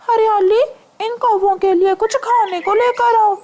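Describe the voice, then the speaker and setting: A woman's voice: very high-pitched, fairly even intonation, measured pace, mellow, pitched above the performer's own voice. A woman voicing a kindly, hospitable male parrot in a cartoon story, earnest and eager to help. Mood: helpful. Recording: noisy ambient background.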